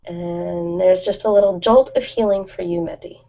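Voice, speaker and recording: A woman's voice: fairly low pitch, steady tone, moderate pace, creaky, dark tone. A woman chatting casually and informally on an ASMR live stream, rambling from one thought to the next. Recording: dull lo-fi live-stream audio.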